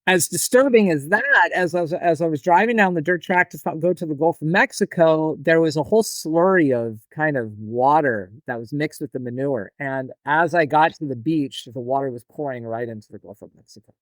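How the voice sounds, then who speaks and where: A man in his forties from the United States, an author and farmer guest on a podcast, telling his story in a relaxed, rambling way. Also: a little high, fairly quick, dry recording, low-quality recording. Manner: relaxed, storytelling, some restarts. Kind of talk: storytelling in an interview.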